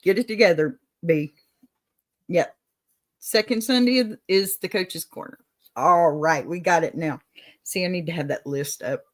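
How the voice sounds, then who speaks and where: A woman's voice: lowish voice, a little slow. A woman with a Texan accent hosting a casual advice livestream, folksy and encouraging, calling viewers honey and y'all. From Texas. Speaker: advice show host. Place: home setup, on camera.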